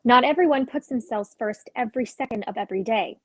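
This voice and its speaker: A woman's voice: on the high side, brisk, a bit bright, husky, dry recording. A woman playing a guarded, conflicted sister, earnest and defensive, in a dramatic play reading.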